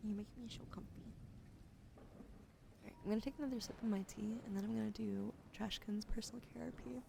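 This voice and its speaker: A woman whispering softly and sleepily on an ASMR livestream. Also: medium pitch, steady tone, gravelly, noisy background. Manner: soft, slow, sleepy whispering. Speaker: ASMR streamer.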